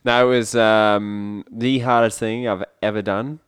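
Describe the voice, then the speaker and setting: Man, smooth low voice, relaxed rambling co-host. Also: measured pace, no room sound. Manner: easygoing, rambling storyteller. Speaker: podcast co-host. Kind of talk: casual chat.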